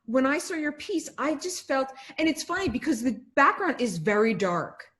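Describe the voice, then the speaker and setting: A woman's voice: high voice, animated, moderate pace, a bit bright. A woman curator interviewing an artist over a video call, speaking effusively about the artwork. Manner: effusive, enthusiastic. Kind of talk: interview talk.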